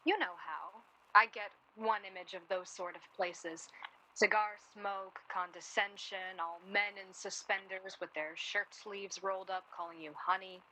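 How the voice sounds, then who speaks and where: A woman's voice: mid-range voice, fairly even intonation, unhurried, pushed and bright, slight background noise, poor audio. A woman playing a wry, outspoken, self-deprecating young woman from 1930s New York in a naturalistic radio drama. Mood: curious, wry. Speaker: actor in a radio play.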